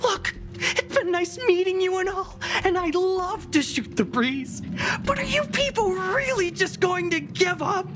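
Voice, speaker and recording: A woman's voice: extremely high pitch, expressive, measured pace, a bit bright, slight background noise, full character voice. An actress playing a jaded, sardonic woman in a video game, world-weary and guarded. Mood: exasperated. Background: prominent music.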